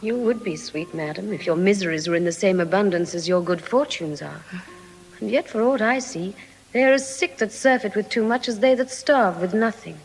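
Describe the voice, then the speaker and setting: An actress with a British accent playing a sensible, gently chiding waiting-woman, composed and sententious, in classical Shakespearean style. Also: medium pitch, normal speed, soft background music, lightly acted, rough recording. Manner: composed, sententious, crisply phrased.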